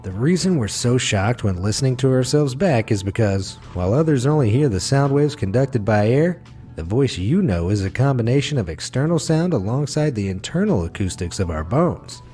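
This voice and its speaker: A man reading a scripted facts video as narrator, with a smooth, wry delivery. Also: mid-range voice, very animated, moderate pace, dark tone, soft background music.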